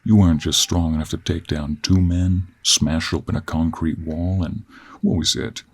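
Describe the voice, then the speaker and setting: Male actor as middle-aged cowboy hunter, deep gravelly voice, Western American drawl, gruff, teasing, weary, intimate roleplay delivery. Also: fairly even intonation. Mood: wry, musing. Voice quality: gravelly, husky. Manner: slow, drawling, with heavy sighs and pauses. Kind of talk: intimate audio roleplay acting, addressed to the listener.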